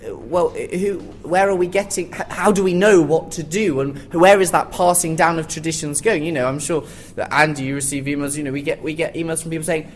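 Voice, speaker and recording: A man's voice: on the high side, brisk, few pauses, slight background noise. A man speaking informally and knowledgeably as a panelist in a live theatre discussion. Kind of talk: informal expert panel talk.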